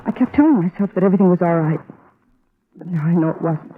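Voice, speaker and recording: A woman's voice: on the low side, animated, a little hiss or hum, natural voice. A woman playing a worried, hesitant wife of a missing detective in an old radio drama. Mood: uneasy. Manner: hesitant, halting. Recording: muffled old radio recording.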